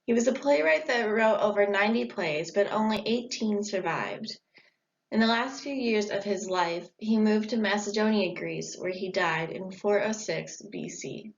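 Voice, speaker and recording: Young woman reading her report plainly, mid pitch, muffled lo-fi audio. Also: moderate pace, gravelly, slight background noise. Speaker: student presenter. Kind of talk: informal school report. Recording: dull lo-fi audio.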